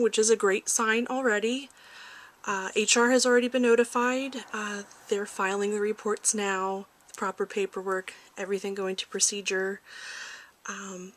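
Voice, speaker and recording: A woman doing a soft-spoken ASMR roleplay as a calm research doctor, gentle, reassuring and a little hesitant, in a home recording. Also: fairly high pitch. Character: calm corporate research doctor giving a checkup. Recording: faint background noise, home ASMR recording.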